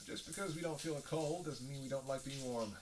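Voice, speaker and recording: A man's voice: mid-range voice, fairly quick, background noise, close to an everyday voice. A man playing a sardonic, playful vampire who banters sarcastically with his hunter in an audio roleplay. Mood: relaxed, wry. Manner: sarcastic, playful, drawling asides with sighs and scoffs. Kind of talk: audio roleplay acting, one-sided dialogue.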